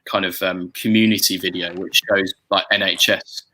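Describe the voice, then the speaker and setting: Bright-voiced man chatting, fairly low pitch. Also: little pitch movement, rough recording. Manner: hesitant, halting, repeating words as he goes. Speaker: musician and song arranger hosting a group chat. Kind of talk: casual conversational chat about music-making.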